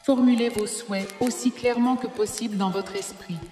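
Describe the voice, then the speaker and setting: A woman playing a calm, solemn spiritual guide in a cartoon, teaching in a measured, didactic way. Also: fairly high pitch, natural voice. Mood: solemn, instructive. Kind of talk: solemn instructive dubbed cartoon dialogue. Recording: echoey reverberant hall, music behind.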